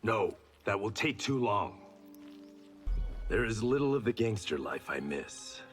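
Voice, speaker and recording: Low-voiced stern mentor. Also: male voice, very animated, a little slow, faint music behind. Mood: stern warning. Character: stern, seasoned male swordsman, cautioning elder mentor.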